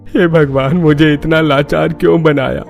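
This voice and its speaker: A man's voice: on the high side, fairly even intonation, normal speed, gravelly, soft background music. An actor playing a poor, helpless village father in a cartoon, pleading and sorrowful. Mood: anguished.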